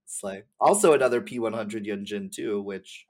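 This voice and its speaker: A man's voice: fairly low pitch, moderate pace, a little mellow. A young man chatting casually about gaming as a podcast guest, effusive and slangy, peppering his talk with "like". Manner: effusive, slangy, uses "like" heavily. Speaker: podcast guest, game streamer.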